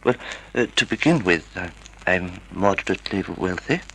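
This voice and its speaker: An actor playing a timid, polite, half-blind older husband who hesitates and stammers, in an old-time radio drama. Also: male voice, deep voice, animated, normal speed, a bit bright, breathy edge, heavily acted, low-quality recording. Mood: hesitant, earnest. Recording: vintage radio drama recording.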